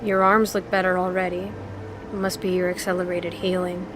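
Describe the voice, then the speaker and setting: An actress playing a tall, guarded goddess in an audio drama, curt and defensive but caring. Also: female voice, fairly low pitch, level tone, a little fast, over music, natural voice, poor audio.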